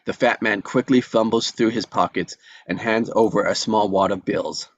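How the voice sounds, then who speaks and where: A man's voice: fairly low pitch, moderate pace, dull audio, slight background noise. A man reading out stage directions as narrator in a scripted table read.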